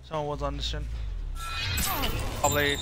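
A man's voice: fairly high pitch, completely flat delivery, normal speed, over loud music. A young man giving casual, off-the-cuff gameplay commentary as a streamer. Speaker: game streamer. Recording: noisy stream with game sounds.

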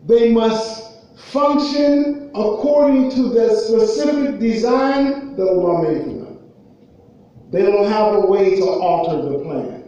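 A man with an American accent preaching a sermon in an emphatic way, repeating phrases and pausing between them. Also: high-pitched, expressive, dark tone. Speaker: preacher giving a Friday khutbah. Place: indoor prayer hall, at a raised pulpit. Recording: muffled, very echoey hall, far from mic.

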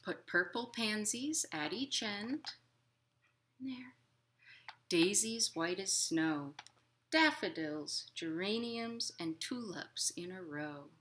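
A woman in her thirties hosting a storytime for young children at home, expressive and encouraging in simple words. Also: medium pitch, takes it slowly. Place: living room at home.

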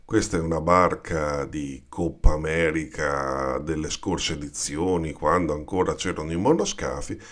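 A man with an Italian accent, explaining yacht design in an unhurried, informal voice-over over pictures. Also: rumbling low, a bit bright. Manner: unhurried and explanatory, addresses viewers with "vedete".

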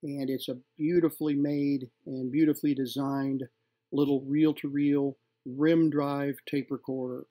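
A middle-aged man presenting from home, showing vintage gear in an unscripted, halting, informal and appreciative way. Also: mid-range voice, level tone, dark tone. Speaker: vintage tape recorder collector and presenter. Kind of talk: unscripted hands-on demonstration.

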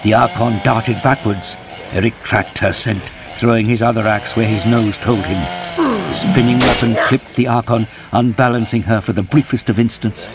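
A man giving dramatic, scripted narration of an action audio drama in a grave, measured storytelling manner. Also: medium pitch, vocal fry.

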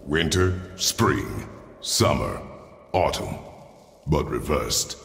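Gruff stern warrior dad from a game, super slow and deep, terse orders. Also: male voice, expressive, a bit bright, a little hiss or hum, heavily acted. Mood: brooding, deliberate. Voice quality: very deep, gravelly. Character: stern, gruff, battle-hardened warrior father. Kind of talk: cinematic video game acting. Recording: roomy reverberant stone chamber, game ambience.

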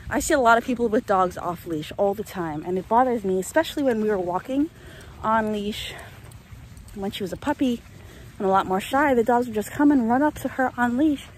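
A woman's voice: a little high, lively intonation, fairly quick, slight background noise, rough recording. A young woman vlogging on a phone at arm's length while walking her dog through a rainy field, chatting and ranting about dog training and laughing often. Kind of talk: walk-and-talk vlog. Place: open grassy field outdoors in rain. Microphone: phone held at arm's length. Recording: faint outdoor rain ambience.

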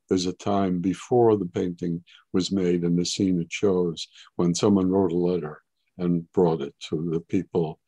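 An older man giving an online art history talk as a guide, measured and explanatory with occasional hesitations, over a webcam. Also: low-pitched, mellow, breathy edge, no room sound. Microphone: webcam on video call.

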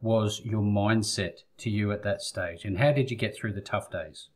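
A man's voice: fairly low pitch, moderate pace. A man hosting a business interview, encouraging his guest with frequent short affirmations. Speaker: podcast host.

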